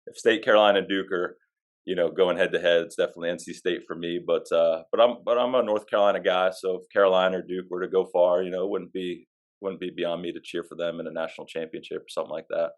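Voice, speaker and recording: A man with a very deep voice talks in an easygoing, rambling way, full of small fillers. He answers casual podcast questions about himself from his office. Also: steady tone, fast. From Pennsylvania. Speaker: athletic director.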